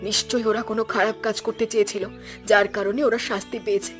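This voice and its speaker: A woman playing an urgent, judgmental village wife in an animated fairy tale. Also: mid-range voice, fast talker, over music, natural voice.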